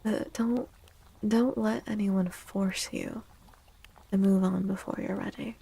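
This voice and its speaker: A woman giving a tarot reading in soft-spoken ASMR style, gentle and reassuring, with a breathy voice that often drops into a whisper. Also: medium pitch, steady tone, takes it slowly. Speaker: tarot reader, streamer.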